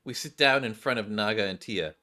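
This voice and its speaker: A man's voice: lowish voice, brisk, sharp tone, faint music behind. A man, a gaming streamer, reading a video game's story aloud. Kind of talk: reading game dialogue and narration aloud.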